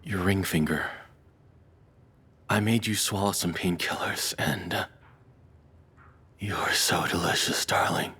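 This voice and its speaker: Man acting a deep, husky, lovesick deranged captor. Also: full character voice. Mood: apologetic, tender. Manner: soft, confessional, hesitant, calmly menacing. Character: deranged lovesick male cannibal captor, softly menacing. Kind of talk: intimate scripted audio roleplay monologue.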